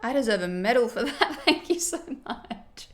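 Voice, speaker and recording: A woman's voice: a little high, lively intonation, moderate pace, faint music behind. A woman streaming casually while doing her makeup, reading viewer comments aloud and reacting to them in a chatty, friendly way.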